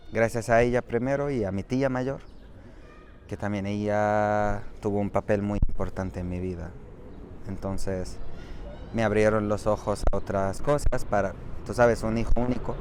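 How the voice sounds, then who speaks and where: A man in his thirties with a fairly low voice, answering interview questions on a city street. He talks thoughtfully and explains at length, often stopping mid-word to correct himself. Faint traffic hums behind him. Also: a little mellow. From Saudi Arabia. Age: 30s. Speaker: interviewee, doctoral student. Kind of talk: casual interview.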